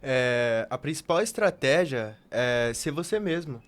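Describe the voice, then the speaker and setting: A man speaking in casual podcast conversation with a halting, hesitant delivery. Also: medium pitch, expressive. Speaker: podcast participant.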